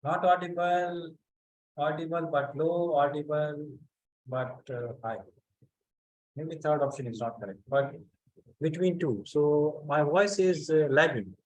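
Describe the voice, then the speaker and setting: A man leads an online physics lesson. His voice sits somewhat high, and he talks slowly and haltingly with many pauses. He often stops to check in with students by name. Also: a little mellow, rough recording. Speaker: physics teacher. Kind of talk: online class lecture.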